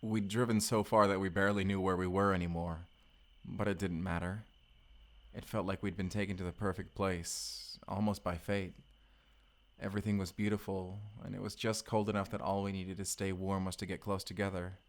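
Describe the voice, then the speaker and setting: A man's voice: sits low, little pitch movement, moderate pace. A man playing a tender, boyish husband from the future in an intimate, bashful, rambling romantic audio roleplay. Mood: tender, nostalgic. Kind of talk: romantic audio roleplay acting, addressed to listener.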